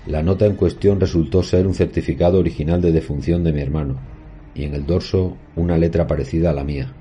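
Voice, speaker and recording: A man playing a haunted professor, recounting a horror tale in measured, solemn, literary narration. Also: low-pitched, fairly even intonation, warm tone, highs cut off, natural voice. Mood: sombre recollection. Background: music.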